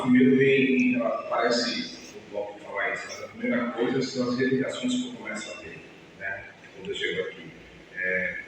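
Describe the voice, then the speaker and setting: A man from São Paulo, speaking earnestly as an activist interviewee, tagging sentences with "né" and restarting phrases. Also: fairly low pitch. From São Paulo, Brazil. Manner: earnest, with frequent "né" tags and restarts. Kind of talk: reflective personal interview. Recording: echoey, long-reverb room, mic far off, faint hiss.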